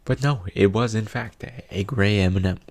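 A young man telling a casual comedic story in a conversational way, with mock-dramatic pauses, close on a studio mic. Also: fairly low pitch, a little mellow, no room sound. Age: young adult. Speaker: video host, storyteller. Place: small indoor home studio. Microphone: close studio condenser mic with pop filter.

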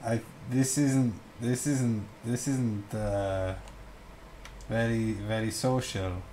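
A man's voice: lowish voice, fairly even intonation, slow, mellow, slight background noise. A man chatting in improvised game roleplay, polite and hesitant, often repeating himself. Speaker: gamer, video creator.

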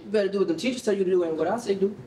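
An actor playing a joking, easygoing young American uncle with a casual, slangy, teasing manner in a staged reading. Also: male voice, high-pitched, very fast, mellow, lightly acted. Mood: joking, grumbling. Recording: roomy hall, distant mic, faint noise.

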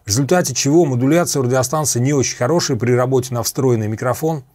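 A man's voice: mid-range voice, quick pace, darkish tone, no echo. Middle-aged male presenter, methodical technical demo, close dry recording, mid-range voice. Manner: methodical, repeats and clarifies terms. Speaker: host reviewing radio equipment. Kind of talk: explanatory product demonstration. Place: small room at a computer desk, indoors.

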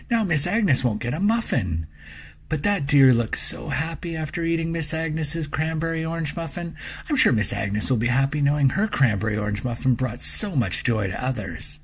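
A man with a very husky, creaky voice narrates a storybook for young children. His pitch moves up and down in a lively way. He tells the story deliberately and expressively. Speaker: author reading own children's story.

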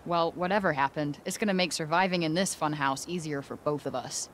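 A young American heroine from a video game, played by a woman, with a lowish voice. She is blunt, slangy and wry, tough on the outside with a conscience underneath, and there is audible noise behind her. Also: brisk, close to an everyday voice. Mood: wry, upbeat. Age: young adult. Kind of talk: naturalistic video game voice acting.

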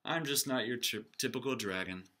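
A man voicing a gentle little boy dragon who is homesick, in a soft, plaintive voice. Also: medium pitch, normal speed, background noise, natural voice. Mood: glum.

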